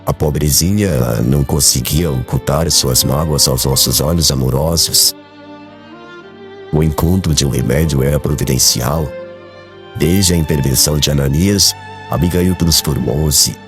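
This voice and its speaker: A man playing a calm, kindly, grieving old country father in a dramatized audiobook, speaking in a measured, gentle and formal way. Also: a bass voice, soft background music. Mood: sorrowful, earnest.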